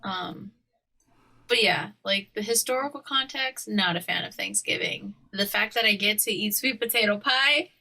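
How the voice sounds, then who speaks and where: A woman's voice: medium pitch, normal speed, vocal fry, pushed and bright. A woman from Hawaii chatting casually as a podcast co-host, opinionated and slangy. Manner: opinionated, slangy, often laughing. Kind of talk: casual conversational chat.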